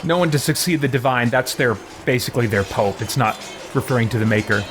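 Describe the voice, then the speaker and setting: A man giving casual roleplay playthrough commentary, musing and explaining in a halting, thinking-aloud way. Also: medium pitch. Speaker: gaming video host. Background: game music.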